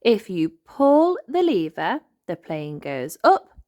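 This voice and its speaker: A woman voicing an excited, cheese-loving young girl mouse in a storybook, full of gushing exclamations. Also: mid-range voice, slow pace, dull audio, voice pushed up. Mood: explaining.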